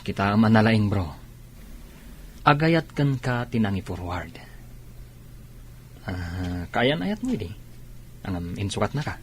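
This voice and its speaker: A man's voice: lowish voice, very animated, a little slow, long pauses, a little hiss or hum. A man acting as a concerned, probing friend in a radio drama, conversational and questioning. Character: concerned, probing male friend giving advice.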